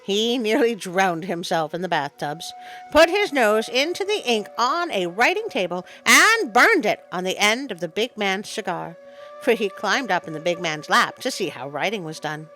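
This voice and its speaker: A woman reading a story aloud in an expressive, dramatic storytelling style. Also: medium pitch, moderate pace, faint music behind, low-quality recording. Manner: dramatic read-aloud, with interjected asides. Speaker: storyteller, show host. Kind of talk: expressive scripted story narration.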